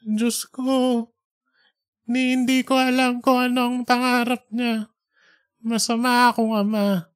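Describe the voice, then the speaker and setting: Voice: medium pitch, flat delivery, slow pace, long pauses, clean tone, pitched above the performer's own voice, lightly acted. A performer voicing a very old, devout father, tender and remorseful, with an emotional, pleading manner. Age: elderly.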